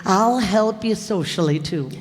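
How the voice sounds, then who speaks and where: Affectionate, patiently chiding wife for radio comedy, played by a woman, mid-pitched, heard in a reverberant hall. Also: expressive, a little slow, natural voice. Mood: fond. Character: patient, fondly correcting wife of bumbling husband. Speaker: actor in radio comedy play. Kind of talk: old-time radio comedy acting. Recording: echoey hall, live stage performance.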